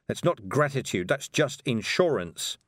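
Troubled, sharp-tempered witch child for an audiobook, acted by a man, higher register, animated. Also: a little slow, no room sound, studio quality. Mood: scornful, bitter. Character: troubled young witch girl, sharp and resentful.

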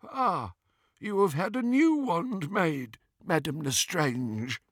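A man's voice: on the high side, sing-song, slow pace, fairly bright tone, breathy edge, dry recording, clean studio sound, voice pushed up, full character voice. A man voicing an elderly goblin bank teller, officious and formally polite, in an audiobook story. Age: older. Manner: formal, deferential, fussy.

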